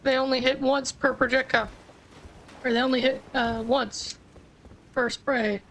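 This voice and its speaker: A woman's voice: sits high, flat delivery, a little slow, vocal fry, a little hiss or hum, low-quality recording. A woman streamer chatting casually as she plays, explaining game mechanics with frequent restarts. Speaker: gaming streamer. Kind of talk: casual gameplay commentary.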